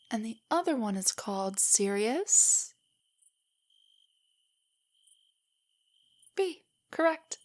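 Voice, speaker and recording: A young woman playing a nervous, nerdy, affectionate girlfriend in an intimate roleplay audio, speaking softly and breathily in a giggly, rambling way. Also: on the high side. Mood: playful, shy. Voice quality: breathy, often near a whisper. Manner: rambling, giggly, hesitant, explaining trivia. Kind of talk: roleplay audio, intimate soft monologue to a listener.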